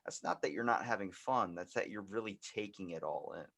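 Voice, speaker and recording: A man with a low, deep voice talks casually as a podcast host. He muses in a rambling, conversational flow, leaning hard on certain phrases. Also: fairly quick, gravelly, a bit bright. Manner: rambling, musing, emphatic, peppered with "like".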